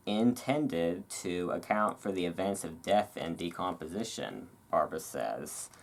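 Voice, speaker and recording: A host reading aloud in a relaxed ASMR style, with casual, musing asides. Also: low voice. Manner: rambling, musing asides between read passages.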